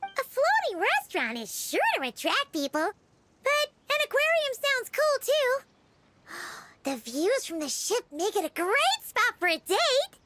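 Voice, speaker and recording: A woman voicing a small, chirpy, talkative fairy-like girl companion with a childlike squeak in a video game cutscene. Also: big pitch swings, unhurried, bright, forceful tone, exaggerated voice. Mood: curious. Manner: speaks of herself in third person, exclamatory.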